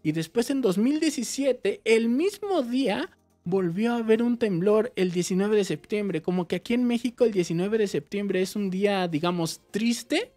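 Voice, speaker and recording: A Mexican man in his thirties with a Mexican Spanish accent, hosting a casual Q&A vlog for his gaming channel, chatty and animated. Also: high voice, normal speed, soft background music. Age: 30s. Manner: chatty, animated, waves arms while talking. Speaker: YouTube gaming channel host. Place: small indoor room with game shelves.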